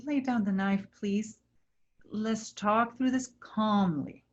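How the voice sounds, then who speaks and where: A woman playing a calm, reassuring troupe member in a staged play reading. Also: mid-range voice, slow, muffled recording, a little hiss or hum, natural voice. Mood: placating. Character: calm, placating member of a travelling theater troupe.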